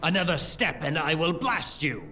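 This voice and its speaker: An actor playing a gloating, treacherous priest, a grandiose and taunting villain in a fantasy audio drama. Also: male voice, a little high, lively intonation, moderate pace, bright, forceful tone, faint music behind. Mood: threatening. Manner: theatrical, taunting.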